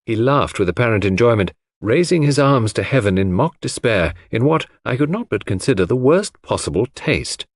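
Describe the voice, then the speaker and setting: A man's voice: mid-range voice, animated, normal speed, few pauses, no echo, studio quality. A man reading scripted literary fiction as a dramatic audiobook narrator.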